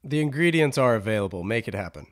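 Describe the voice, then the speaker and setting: A man's voice: mid-range voice, animated, fast. A man hosting a podcast in a casual, easygoing, friendly interview style. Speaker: podcast host.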